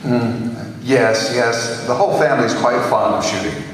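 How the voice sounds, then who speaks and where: A man's voice: mid-range voice, measured pace, natural voice. An actor playing a weak-willed, grieving, hesitant wealthy man in a radio play, subdued in manner. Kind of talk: old-time radio play acting. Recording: echoey hall, distant, faint noise.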